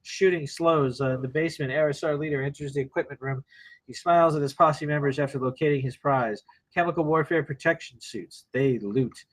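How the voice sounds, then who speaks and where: A man narrates the action of a screenplay at a table read. His voice sits a little high, and he delivers terse, clipped phrases in the present tense. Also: brisk, low-quality recording. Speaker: narrator reading stage directions.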